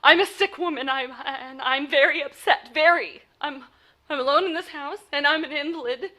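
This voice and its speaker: Nervous, demanding, high-voiced invalid wife. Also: female voice, moderate pace, sharp tone. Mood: agitated, upset. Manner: stammering, breathless urgency, haughty insistence. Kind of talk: 1940s radio play acting.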